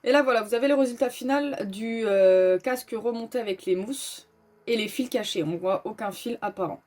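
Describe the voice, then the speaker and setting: A woman's voice: a little high, moderate pace, darkish tone. A woman hosting a casual, informal step-by-step tutorial, explaining as she goes and announcing each step. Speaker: host of a motorcycle gear tutorial. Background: music.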